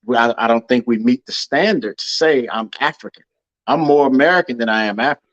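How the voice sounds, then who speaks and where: A man's voice: mid-range voice, brisk, a bit bright, no echo, dull audio. A man hosting a casual discussion over a call, earnest and often repeating words as he thinks. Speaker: podcast host.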